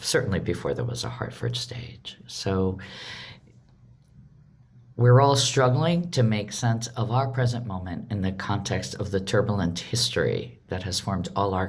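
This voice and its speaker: A woman speaking as a theatre director and host, welcoming an online audience on a video call in a measured way with pauses between phrases. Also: very deep voice, a little mellow.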